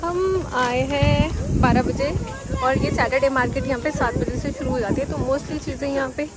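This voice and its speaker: A high-pitched woman in her thirties chats her way through an open-air farmers market, explaining what things cost. Her voice comes off a phone held at arm's length, with loud crowd and vendor bustle all around. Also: steady tone, normal speed. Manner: chatty, explaining prices while shopping. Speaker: vlogger. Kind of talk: casual vlog narration. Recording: loud outdoor market noise.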